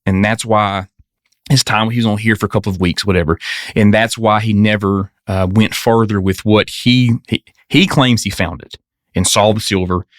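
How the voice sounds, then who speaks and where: A man's voice: lowish voice, steady tone, normal speed, dry recording, clean studio sound. A man, a podcast guest, telling a story casually with frequent restarts and stammers.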